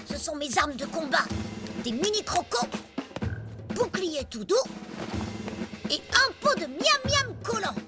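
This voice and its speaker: Feisty prehistoric small boy for animation, extremely high and animated, earnest, exclamatory. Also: unhurried, pauses often, over loud music, full character voice. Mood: determined, eager. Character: feisty little prehistoric boy, stubborn, attached to his teddy. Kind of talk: animated cartoon acting.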